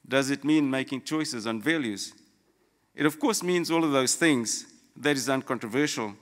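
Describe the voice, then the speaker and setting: A middle-aged man from Fiji giving a formal, prepared graduation address in measured, deliberate phrases with pauses, at a podium microphone in a large auditorium. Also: medium pitch, fairly bright tone. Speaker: graduation guest speaker, lawyer. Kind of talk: prepared formal ceremony address, read from notes. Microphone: gooseneck podium microphone. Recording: long hall reverb.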